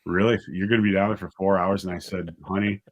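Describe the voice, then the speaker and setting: Low-voiced man in casual interview chat. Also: fairly even intonation, fast. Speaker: guest, audio drama writer and director.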